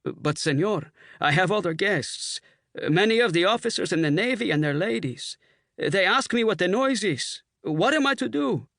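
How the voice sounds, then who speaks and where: A man's voice: high voice, animated, moderate pace, creaky, a bit bright, no echo, studio quality, voice pushed up, full character voice. A man voicing an anxious, polite hotel maitre d' who pleads with his guests. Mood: pleading.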